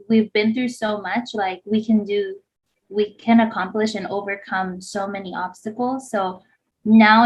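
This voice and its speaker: A woman's voice: mid-range voice, darkish tone. Podcast co-host, woman from Hawaii in her thirties, chatty and rambling, a bit lo-fi. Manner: rambling, heavy use of "like". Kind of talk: casual chat, personal story. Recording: slightly dull lo-fi sound.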